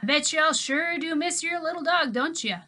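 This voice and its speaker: A woman voicing a folksy, easygoing old homeless man who chuckles and talks in a loud drawl. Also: very high-pitched, moderate pace, pitched above the performer's own voice.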